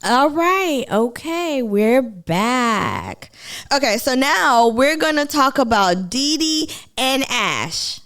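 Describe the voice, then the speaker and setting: A woman's voice: sits high, very animated, takes it slowly, fairly bright tone. A woman from Kenya with a Kenyan accent, chatting casually to viewers on a live stream about a TV show. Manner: chatty, addresses viewers as y'all.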